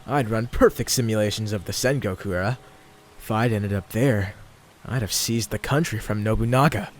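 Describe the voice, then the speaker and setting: A man acts out a young anime hero lost in a fantasy world. His voice sits a little low. He mutters to himself with wry, self-mocking theatricality. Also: normal speed, lightly acted. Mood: wry, boastful. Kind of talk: anime dub internal monologue.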